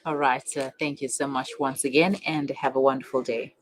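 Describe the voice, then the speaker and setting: A woman hosting a radio talk show, speaking in a somewhat hesitant, halting way. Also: a bass voice, steady tone. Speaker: radio host.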